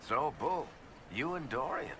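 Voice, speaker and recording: A man's voice: fairly high pitch, expressive, measured pace, noisy background. A man playing a roguish dwarf storyteller in a video game, teasing and melodramatic. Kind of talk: video game banter acting.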